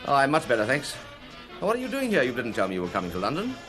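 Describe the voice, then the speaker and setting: A man's voice: mid-range voice, wildly swooping pitch, very fast, a bit bright, soft background music. An actor playing a breezy, chatty man about town in a radio drama, effusive and sociable.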